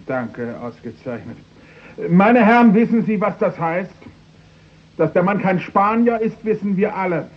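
A man's voice: high-pitched, animated, unhurried, muffled recording. A man acting a smug, cynical prime minister with a pompous, ironic manner in a 1960s German radio play. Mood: ironic, mock alarm. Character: smug, cynical male prime minister addressing his cabinet.

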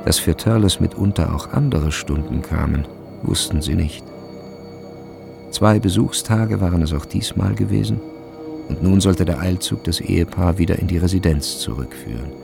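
A man narrating literary prose in a radio drama, measured and reflective. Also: deep voice, gravelly. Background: music.

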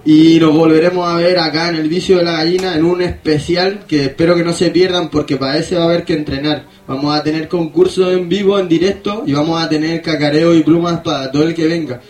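Echoey, higher-pitched male radio host. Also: steady tone, a little fast, few pauses, a little mellow. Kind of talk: casual radio show talk. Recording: roomy, echoey sound.

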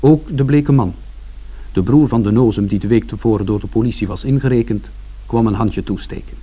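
A male actor plays an observant son narrating a radio play about his family. The voice is soft-edged and mellow, on the low side, with measured, descriptive storytelling. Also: close to an everyday voice. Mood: reflective.

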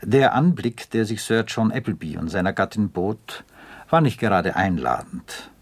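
A man narrating a radio drama in a measured, literary storytelling style. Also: on the low side, lively intonation, slightly rough, soft background music.

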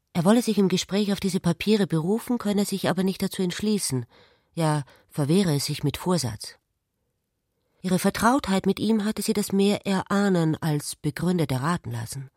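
A woman's voice: fairly low pitch, normal speed, mellow, dry recording, clean studio sound. A woman giving scripted literary narration in a radio play.

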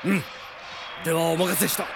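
An actor playing a stern knight captain with formal, commanding military speech in a radio drama over music. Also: male voice, fairly high pitch, steady tone, a little fast, creaky, background noise. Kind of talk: Japanese radio drama acting. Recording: loud music behind.

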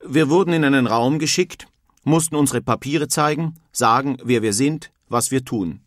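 A man's voice: mid-range voice, moderate pace, dry recording, studio quality, close to an everyday voice. A man voicing a male immigrant who soberly recalls the medical inspection. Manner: plain, sober first-person recollection.

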